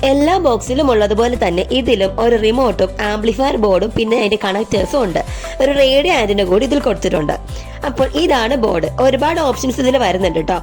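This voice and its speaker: A woman's voice: on the high side, animated, quick pace, darkish tone, faint music behind. A woman presenting a scripted product review as narration.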